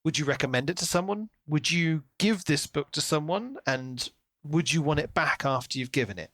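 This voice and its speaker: A man's voice: fairly high pitch, expressive, moderate pace, a bit bright, no echo, studio quality. A man co-hosting a podcast, chatting casually about books and slipping into imagined quotes as he talks. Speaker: podcast co-host. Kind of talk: casual book discussion.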